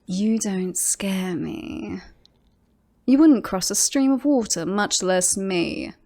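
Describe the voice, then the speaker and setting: A woman's voice: mid-range voice, lively intonation, warm tone. A woman playing a smug, possessive female werewolf in an ASMR roleplay, teasing and mocking as she coaxes her beloved human. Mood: smug, threatening. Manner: teasing, mocking, drawn-out coaxing delivery.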